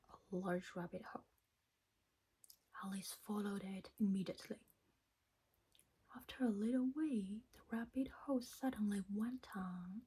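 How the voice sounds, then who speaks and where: A non-native English-speaking woman reading a bedtime story in a hushed, breathy ASMR whisper. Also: mid-range voice, poor audio. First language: not English. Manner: slow, hushed, deliberate.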